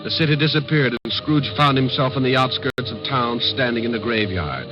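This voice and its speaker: An actor playing a wry, slangy American storyteller, narrating a 1950s radio drama. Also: male voice, medium pitch, a little fast, lightly acted. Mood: wry storytelling. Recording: dull old radio recording, clear music behind.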